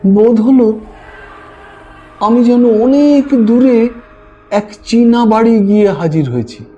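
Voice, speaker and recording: A man with a high-pitched voice tells a ghost story in a slow, suspenseful audiobook style. His tone is mellow and expressive. The recording is slightly dull, with music playing behind him. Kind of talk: dramatic scripted audiobook narration. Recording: dull lo-fi voice track over music. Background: background music.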